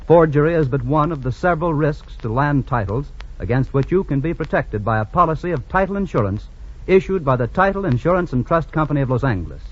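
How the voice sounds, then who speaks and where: Formal american guy, old 1940s radio announcer reading sponsor copy, kinda husky, muffled sound. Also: on the high side, lively intonation. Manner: formal, measured. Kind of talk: 1940s scripted sponsor message. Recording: muffled vintage radio recording.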